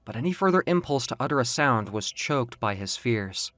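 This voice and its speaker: A man's voice: mid-range voice, lively intonation, moderate pace, a bit bright, no room sound. A man reading scripted, dramatic fiction as the narrator of an audio story.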